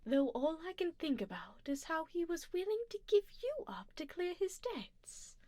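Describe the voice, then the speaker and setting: A haughty vampire duchess acted by a woman murmurs in refined, formal phrases for an ASMR roleplay. Her voice is high and swings widely in pitch, slipping into breathy whispers right at the listener's ear. She is coolly menacing yet doting. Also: very animated, measured pace, mellow, dry recording, full character voice. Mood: disdainful, doting. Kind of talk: ASMR roleplay, close personal attention. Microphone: close binaural ASMR microphone.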